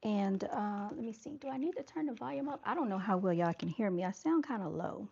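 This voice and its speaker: A woman with a soft voice chats casually with her livestream viewers and keeps calling them y'all. Her voice sits at an ordinary pitch. The camera-mic audio comes through a little dull. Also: animated, a little fast, gravelly, breathy edge. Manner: soft-spoken, chatty, addresses viewers as y'all. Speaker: livestream host.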